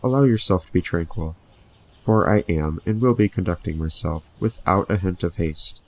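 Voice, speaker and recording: A very low male actor's voice for a serene guardian spirit in an audio drama. He speaks formally and with measured care, in solemn, old-fashioned turns of phrase. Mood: serene, assured. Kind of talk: scripted audio drama acting.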